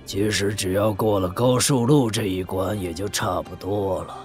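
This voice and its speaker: A man playing an eccentric, cynical old sage in a wuxia audio drama, with a creaky voice and theatrical, mocking delivery. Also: on the low side, normal speed, a little mellow, faint music behind, lightly acted. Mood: dismissive. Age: older.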